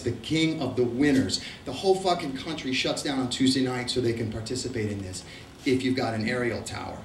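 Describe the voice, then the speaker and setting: A man's voice: mid-range voice, slight background noise. A middle-aged man with an Argentine accent, telling a profane, wry travel story to a live audience. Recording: roomy, distant mic.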